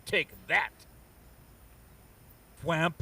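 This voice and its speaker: A man's voice: on the high side, drawn-out words, gravelly, pushed and bright, exaggerated voice. A man voicing a boastful, mighty barbarian hero in a comic book table read, declaiming pompously, recorded at a distance from the mic. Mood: boastful, combative. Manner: pompous, archaic heroic declaiming.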